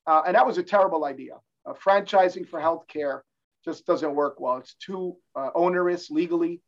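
A middle-aged man, a hand surgeon, explains things in an interview, often restarting his sentences. His voice sits fairly high, and the sound is muffled, like remote audio from an office. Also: normal speed. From New Jersey, born in Cuba. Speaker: guest, orthopedic hand surgeon. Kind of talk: casual interview talk. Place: office in his medical building.

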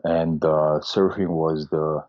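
A middle-aged man from Austria, a doctor and surfer, answering interview questions slowly with many fillers and restarts. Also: rumbling low, little pitch movement, muffled recording. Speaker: guest, medical doctor and surfer. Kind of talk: casual interview conversation.

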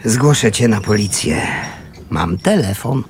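An actor playing a shabby, cautious but kindly homeless man in a radio play, speaking hesitantly in a husky, breathy voice. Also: fairly low pitch, expressive, very noisy. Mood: concerned. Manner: hesitant, halting. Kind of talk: naturalistic radio drama acting.

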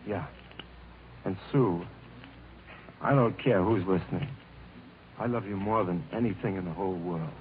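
Bashful, halting young American on old radio. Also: male voice, deep voice. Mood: tender, eager. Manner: halting, stammering. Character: earnest, bashful young man phoning his sweetheart. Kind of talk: mid-century American radio drama acting. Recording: noisy, narrow-band vintage radio recording.

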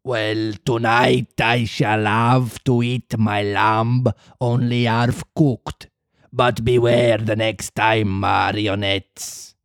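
A man voicing a gruff, volatile male puppet-theatre master with a slow, hoarse growl. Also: fairly low pitch, little pitch movement, dry recording, clean studio sound.

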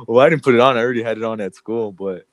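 A man's voice: mid-range voice, very animated, quick pace, creaky, dry recording. Congested, joking man with a dry sound. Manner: laughs often, loose and joking. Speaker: podcast co-host. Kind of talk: casual chat.

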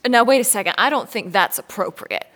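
A married mother in a radio drama, her voice a little higher than average. She is guarded and curt. She answers defensively and tends to push back. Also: animated, brisk, a bit bright. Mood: indignant. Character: guarded married mother, increasingly indignant under questioning. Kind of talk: scripted audio drama dialogue.

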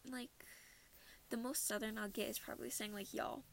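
A woman's voice: fairly high pitch, steady tone, moderate pace, creaky, slight background noise. A teenage girl from Minnesota chatting casually about her month, rambling and laughing often. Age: teens. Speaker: podcast host. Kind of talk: casual personal chat.

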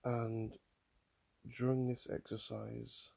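A man guiding a mindfulness meditation in a calm, soothing manner, leaving long pauses between phrases. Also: medium pitch, creaky. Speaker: mindfulness guide. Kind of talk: guided meditation, body scan.

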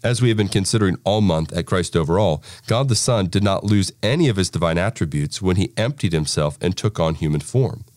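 Low-voiced man giving a scripted introduction. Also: expressive, brisk, hardly any pauses, a little mellow, no echo, clean studio sound. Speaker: podcast host introducing an article.

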